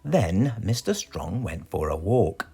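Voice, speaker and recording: A man's voice: low-pitched, sing-song, takes it slowly, vocal fry, dark tone, faint music behind. A man with a British accent reads a children's storybook aloud dramatically, putting questions to his young listeners. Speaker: storybook reader.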